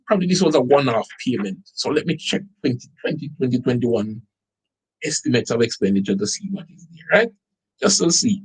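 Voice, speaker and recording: A man with a Jamaican accent hosting an online show, reading a government budget aloud and breaking off into incredulous, animated remarks to his viewers. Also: mid-range voice, husky, rough recording. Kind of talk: commentary reading out a document.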